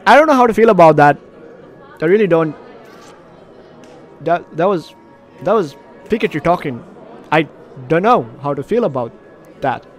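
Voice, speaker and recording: A man's voice: fairly high pitch, lively intonation, moderate pace, long pauses, soft background music. A man doing let's play commentary, reading game narration aloud with jokey asides and stumbles over words. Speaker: gaming video host.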